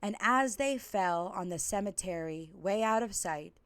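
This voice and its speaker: A woman reading stories aloud and chatting in an expressive, theatrical way as a live storyteller. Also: medium pitch, measured pace, dry recording.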